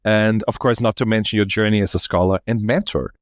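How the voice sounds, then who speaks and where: A man's voice: on the low side, steady tone, a little fast, no room sound, studio quality. A man with an Austrian accent hosting a podcast interview, upbeat and friendly, with frequent ums. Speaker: podcast host.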